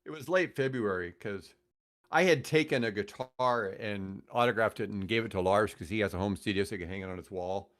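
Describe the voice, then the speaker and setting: A man's voice: fairly low pitch, fairly quick, a bit bright. An older man telling stories casually as a podcast guest, in a joking, rambling way. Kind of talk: casual chat, storytelling.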